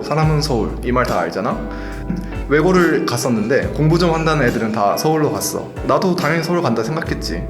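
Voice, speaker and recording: Give me a young man narrating a casual vlog over music, chatty and informal. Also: mid-range voice. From South Gyeongsang, Korea. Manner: chatty, informal, animated intonation. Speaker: host and narrator. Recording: echoey with music behind.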